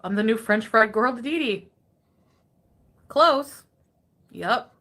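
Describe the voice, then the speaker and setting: A woman's voice: a little high, lively intonation, slow pace, bright, forceful tone. A woman talking in a casual livestream clip, emotional and defiant but hesitant. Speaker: streamer in a played clip.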